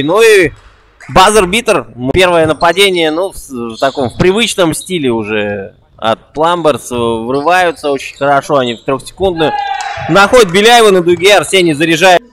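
Young male play-by-play caller, higher-pitched, excitable delivery, faint ball bounces in a gym. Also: a little hiss or hum. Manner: quick excitable play-by-play with a repeated catchphrase. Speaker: basketball commentator. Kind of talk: live play-by-play commentary. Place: indoor basketball gym.

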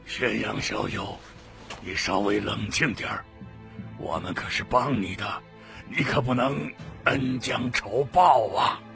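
A man's voice: on the low side, a little slow, creaky, fairly bright tone, soft background music. A man playing a sly old cult member in an audiobook, drawling and mockingly polite. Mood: placating. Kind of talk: dramatic audiobook acting.

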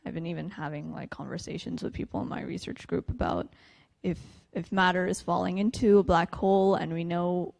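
Panel physicist, woman, lowish gravelly voice, thoughtful answers. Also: fairly even intonation, brisk, a little mellow. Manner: thoughtful, filler-heavy. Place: lecture hall.

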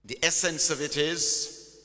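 A man's voice: on the high side, steady tone, slow pace, bright, forceful tone. A man preaching a sermon in a church, emphatic and call-and-response, drawing out his key words. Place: large church hall. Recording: long church hall reverb.

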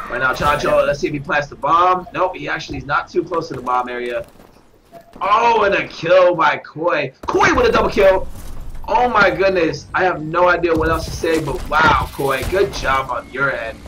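A man's voice: fairly high pitch, moderate pace. Stuffy-nosed man commentating a shooter match. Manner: excitable, shout-outs to viewers, bursts of hype on kills. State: stuffed-up nose. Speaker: tournament commentator. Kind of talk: live esports commentary. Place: gaming tournament venue. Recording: noisy with game gunfire behind.

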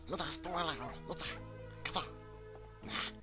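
A man voicing a brash, foul-mouthed little boy in an amateur anime fan dub, blurting excitably in slang. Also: a little high, loud music behind the voice, natural voice. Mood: agitated.

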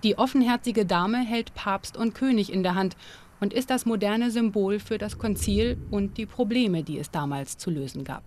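A woman narrating a documentary and interviewing, measured and clear. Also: medium pitch, a little mellow, a little hiss or hum. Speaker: documentary presenter. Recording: music bed under voice.

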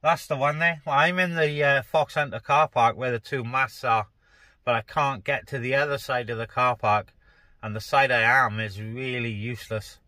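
A man's voice: mid-range voice, fairly even intonation, moderate pace, background noise. A man chatting casually as a CB radio operator, speaking colloquially and repeating phrases, inside a parked car.

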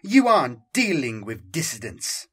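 A man's voice: on the high side, animated, unhurried, fairly bright tone, pitched above the performer's own voice. Picture a stern, imperious woman inquisitor in a grim sci-fi audiobook, as a male narrator puts her on. She is curt and cold, and she snaps out her orders and explanations. Her voice rises above the narration and carries more force. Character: cold, commanding female inquisitor with augmetic eye. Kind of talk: audiobook character voice.

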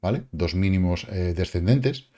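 Lively man with a mellow voice. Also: sits low, unhurried. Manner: lively, slangy, with exclamations and sound effects. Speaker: crypto market analyst. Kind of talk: casual chart commentary. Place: home studio, indoors. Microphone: webcam framing, seated at desk.

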